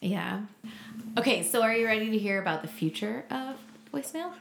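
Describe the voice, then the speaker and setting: Creaky-voiced woman in a roomy space. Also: on the high side, lively intonation, brisk, a bit bright, a little hiss or hum. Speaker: podcast co-host. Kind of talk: casual chat. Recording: roomy, echoing sound.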